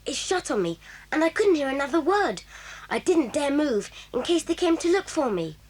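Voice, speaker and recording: An actress voicing a bold young schoolboy who gives hushed, urgent orders. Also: female voice, high voice, very animated, normal speed. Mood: eager.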